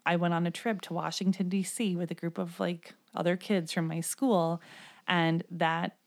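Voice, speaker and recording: Low, gravelly-voiced woman chatting. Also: flat delivery, moderate pace. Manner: chatty, self-deprecating, many filler words. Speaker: podcast co-host. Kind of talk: casual chat.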